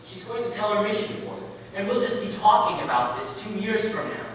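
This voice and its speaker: A man's voice: high-pitched, a little fast, fairly bright tone, distant mic. A man playing a resolute, devout missionary pilot and father in a readers theater piece. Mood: determined. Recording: echoey hall from afar, faint noise.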